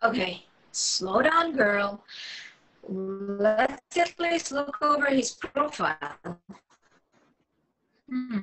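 A woman's voice: fairly high pitch, very animated, very slow, pauses often, fairly bright tone, soft background music, full character voice, low-quality recording. A woman reading the part of a wary, lonely, sardonic online dater in her late twenties, hesitant and wry, in a film table read. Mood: awkward, amused. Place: small room at home, video call. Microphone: computer mic on a video call.

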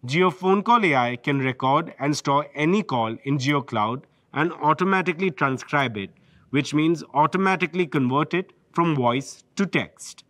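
A man's voice: a little high, animated, normal speed, fairly bright tone, dry recording. A man with an Indian English accent giving a polished, scripted product presentation from behind a lectern. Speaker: corporate presenter. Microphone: lectern microphone.

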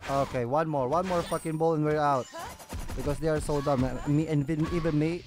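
Higher-voiced man, exasperated gaming commentary. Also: fairly even intonation, brisk. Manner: swearing, exasperated, sarcastic. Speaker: gaming video host. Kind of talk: casual off-the-cuff gameplay commentary. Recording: music behind the voice.

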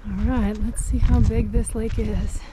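Slow-talking woman on an outdoor hike. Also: fairly high pitch. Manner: chatty, laughs often, relaxed and self-deprecating. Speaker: vlogger. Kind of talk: casual hiking vlog. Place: outdoors by a mountain lake and on a trail. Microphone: action camera, handheld or at arm's length. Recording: outdoor camera audio with stream and wind noise.

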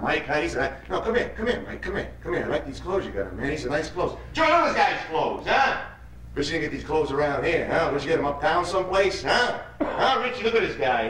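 An actor playing a menacing, mocking street gang leader in a theatrical monologue, badgering and repeating himself. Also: male voice, a little high, fairly bright tone. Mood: mocking, needling. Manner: badgering, repetitive, punctuates lines with "huh?". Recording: echoey room, distant mic, noisy.

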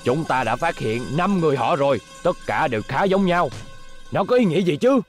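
A man's voice: a little high, lively intonation, brisk, faint music behind, close to an everyday voice. Man acting a smug, scheming cartoon crime boss. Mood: calculating. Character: scheming middle-aged male hotel manager, secret gang boss.

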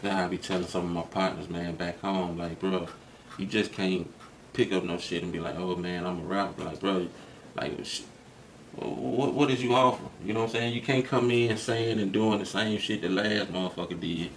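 A man's voice: sits low, steady tone, moderate pace. A young man chatting casually in a small studio, laid-back and agreeable with streetwise slang. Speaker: host. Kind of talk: casual conversation. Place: small studio room with purple lighting. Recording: noisy background hiss.

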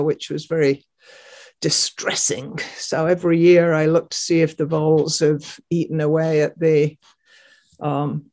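Older woman, gardener presenting slides on a video call. Slow, chatty, hesitant, informal delivery. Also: on the low side, a little mellow. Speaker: gardener giving a garden tour. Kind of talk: informal narration of a photo slideshow. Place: at home indoors, on a video call. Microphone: computer microphone on video call.

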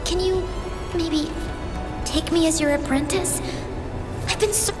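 Game cutscene: earnest young female apprentice; high voice, unhurried, pleading. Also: fairly even intonation, roomy sound, big-room sound. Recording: game music underneath.

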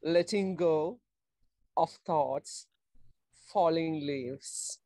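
Middle aged person reading little poems, very slow, dry earbud mic sound. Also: mid-range voice, warm tone, dry recording. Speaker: poet reading short verses. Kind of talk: unhurried poetry recital. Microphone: wired earphones on a video call.

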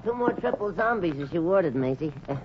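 An actor playing a naive, eager young hotel bellboy, boyish and hesitant, in a 1940s radio comedy. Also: male voice, sits high, lively intonation, fast. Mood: curious, nervous. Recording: muffled, noisy 1940s radio recording.

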